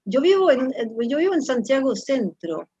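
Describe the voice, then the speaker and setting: French-accented woman in her fifties, interview guest, fairly high voice, halting and reflective, dry muffled sound. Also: big pitch swings, mellow, slightly rough, no room sound. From France. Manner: thoughtful, halting, repeats words while searching.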